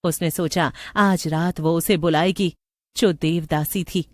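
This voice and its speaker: A woman's voice: lowish voice, fairly even intonation, slow, darkish tone, dry recording. A woman narrator reading a scripted story.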